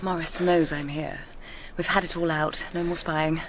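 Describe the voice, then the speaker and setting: An actress playing an anxious, tender young lover with an English accent, in naturalistic radio drama. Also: female voice, low-pitched, fairly even intonation, moderate pace, noisy background. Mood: intimate, subdued.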